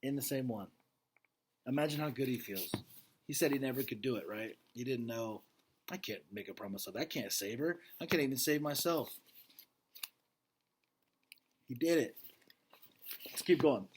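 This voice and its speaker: A man reading a children's story aloud expressively, with dramatic pauses and a conversational storytelling style. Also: medium pitch, lively intonation, slight background noise. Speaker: read-aloud narrator. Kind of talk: storytelling read aloud with casual asides to young listeners.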